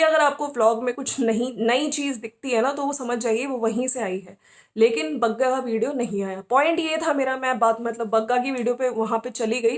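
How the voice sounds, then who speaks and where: A woman giving informal, opinionated commentary as a vlog host, often checking in with listeners using little tag words. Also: high-pitched.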